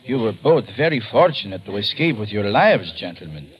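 An actor playing a gracious, formal Latin American plantation owner in an old radio drama. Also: male voice, on the low side, big pitch swings, moderate pace, husky, lo-fi sound, heavily acted. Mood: gracious, measured. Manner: formal, courteous. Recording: old radio recording, faint hiss.

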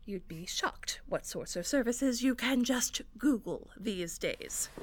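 A woman's voice: on the high side, lively intonation, takes it slowly, no echo. A woman playing an exasperated, sardonic theater director in an audio drama, bossy but encouraging. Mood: exasperated, dry. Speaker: actor in an audio fiction podcast. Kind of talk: acted audio drama dialogue.

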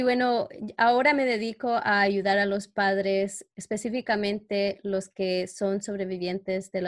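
A middle-aged woman who grew up in New York, talking as an interview guest. Her pitch sits in an ordinary range for a woman. She speaks reflectively and personally, often halting to find the right word. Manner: halting, searches for words in Spanish. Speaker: interview guest, educator for parents who are abuse survivors.

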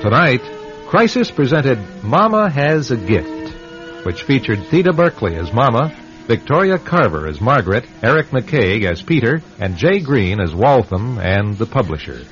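A man's voice: mid-range voice, big pitch swings, normal speed, a bit bright. A man hosting a radio anthology, giving scripted, theatrical narration in a wry, sardonic manner. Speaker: radio anthology host and announcer. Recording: dull vintage radio recording over music.

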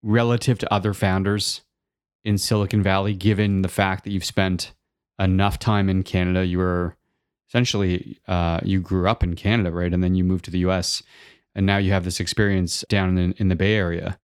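A man's voice: sits low, level tone, brisk, clean tone, no room sound, studio quality. A man interviewing a startup founder, asking long, winding questions.

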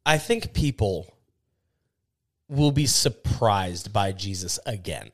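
Low-voiced man on a podcast. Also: animated, slow. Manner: affirming, reflective. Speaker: podcast co-host. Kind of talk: casual faith podcast chat.

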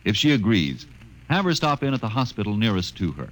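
A man's voice: lowish voice, very animated, brisk, low-quality recording. A man delivering an earnest, persuasive public service appeal on 1950s radio.

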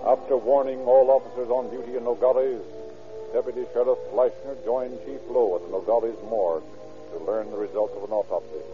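A man acting as the formal narrator of an old-time radio police drama, reading in measured reporting style. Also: mid-range voice, fairly even intonation, lightly acted. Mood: serious, formal. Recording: dull old radio recording with music.

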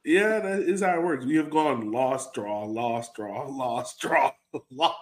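A man with a medium-pitched voice hosts a casual sports fan show. He talks informally and conversationally, as in a relaxed chat among supporters. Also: slow pace. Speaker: host of a soccer fan podcast.

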